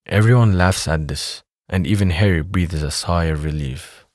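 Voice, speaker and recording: A man narrating a scripted audio drama in a measured, descriptive manner. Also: sits low, darkish tone, no room sound, studio quality.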